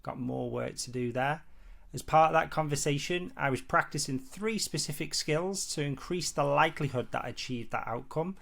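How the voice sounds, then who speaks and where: Casual man with a creaky voice. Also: fairly high pitch, moderate pace, fairly bright tone. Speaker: presenter demonstrating a roleplay training tool. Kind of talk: casual explanatory talk and roleplay practice.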